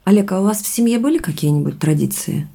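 Thoughtful young woman, creaky and mellow. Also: fairly low pitch, expressive, fast, heavily acted. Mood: reflective, wistful. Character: thoughtful young woman sharing family mystery with boyfriend. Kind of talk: naturalistic audio drama dialogue. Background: music underneath.

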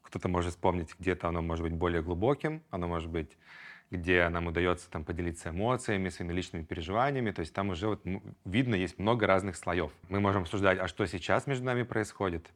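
Deep-voiced man musing on a podcast. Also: quick pace. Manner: thoughtful, searching for words with many fillers. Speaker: podcast host and group facilitator. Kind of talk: reflective solo podcast talk.

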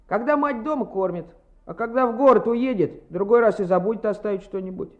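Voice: mid-range voice, a little fast, lightly acted. An actor playing a sheepish, defensive schoolboy in a radio play, evasive and reluctant. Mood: reluctant, casual. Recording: slightly dull old radio recording.

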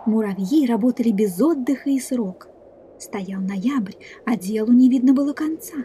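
A woman narrating a scripted fairy tale in a measured, expressive storyteller's manner. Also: a little high, a little hiss or hum.

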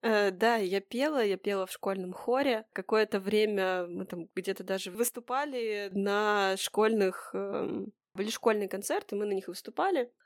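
A woman's voice: mid-range voice, moderate pace, dry recording, clean studio sound. A woman co-hosting a podcast, sharing personal recollections in a casual, hesitant, self-deprecating way. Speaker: podcast co-host.

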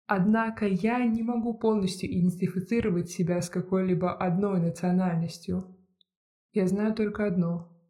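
A reader narrates a first-person essay in a steady, measured way. The voice stays low-key and mellow, with a narrow, level pitch that barely rises or falls. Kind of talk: scripted narration.

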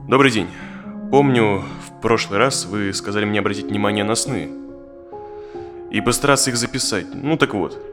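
An actor playing an uneasy therapy client in his thirties in an audio drama, speaking in a halting, reflective way. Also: on the low side, over music, lightly acted. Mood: hesitant, uneasy. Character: uneasy, tired thirty-five-year-old male therapy client.

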